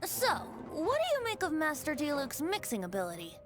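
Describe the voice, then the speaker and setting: A woman's voice: high voice, wildly swooping pitch, brisk, music in the background. A woman voicing a cheerful young male bard in a video game dub, breezy and playful. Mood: jovial. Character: merry young male bard, carefree drinker.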